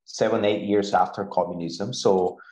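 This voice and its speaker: A middle-aged man from Bulgaria with a Bulgarian accent, telling a personal story deliberately and emphatically as an interview guest. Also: deep voice, fairly even intonation, darkish tone. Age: 40s. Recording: echoey room.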